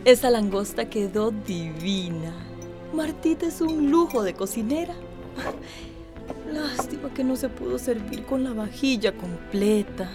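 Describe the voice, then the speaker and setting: An actress playing a genteel, wealthy wife, fussy and anxious, in a radio drama. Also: female voice, fairly high pitch, lively intonation, unhurried, over music. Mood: genteel, complaining.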